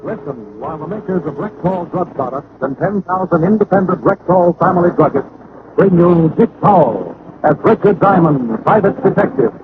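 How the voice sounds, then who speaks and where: A man's voice: sits high, animated, normal speed, pauses often. A man giving formal, declamatory sponsor introductions in vintage radio announcer style. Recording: very noisy, phone-like old radio recording.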